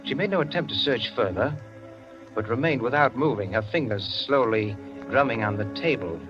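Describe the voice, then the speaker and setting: A man narrating a scripted 1940s radio drama in a measured, literary, dramatic manner. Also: medium pitch, lightly acted. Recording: muffled 1940s radio recording with music.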